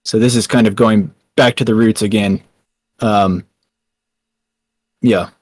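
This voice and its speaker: A man's voice: fairly low pitch, fairly even intonation, takes it slowly, darkish tone, no room sound. Hesitant Texan man, dry mic. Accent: Texan American. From Texas, USA. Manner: hesitant, many ums and restarts. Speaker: founder and writer of a small news site. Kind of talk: casual interview answers.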